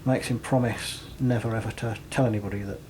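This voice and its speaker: Flat-toned man explaining, noisy recording. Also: fairly low pitch, a little fast. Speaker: radio show co-host. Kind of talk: casual explanatory talk. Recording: noticeable background noise.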